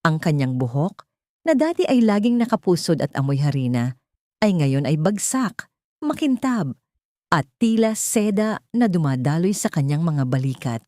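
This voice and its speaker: A woman's voice: low voice, very animated, normal speed, a little mellow, no room sound, studio quality. A woman with a Filipino accent narrating a scripted drama story in a solemn, theatrical storytelling manner.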